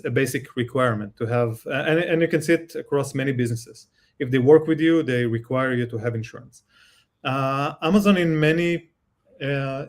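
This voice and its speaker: A man with an Israeli accent, a guest expert explaining things step by step in a live Q&A, with many fillers and restarts. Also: mid-range voice.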